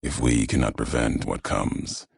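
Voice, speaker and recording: An actor playing a grim, weary wasteland courier in a video game, speaking in solemn, cryptic, clipped phrases with a gravelly voice. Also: male voice, a bass voice, a little slow, heavy reverb, full character voice. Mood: grave, resolute. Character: grim, weary, brooding male wasteland courier, philosophical and menacing. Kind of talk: scripted video game character voice lines.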